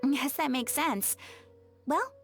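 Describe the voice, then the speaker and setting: A woman's voice: sits high, expressive, takes it slowly, faint music behind, full character voice. A woman voicing a polite, inquisitive character in a video game. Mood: curious, polite.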